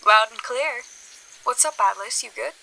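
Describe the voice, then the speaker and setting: A woman playing a casual, quick-witted friend in an audio drama, with a direct and wry manner. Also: a little high, creaky, bright, forceful tone, heavily acted.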